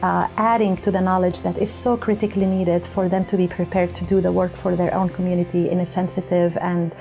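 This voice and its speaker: A middle-aged woman professor explaining her academic work in an earnest, measured way. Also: fairly low pitch, little pitch movement, gravelly, dark tone, soft background music. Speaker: university professor and researcher.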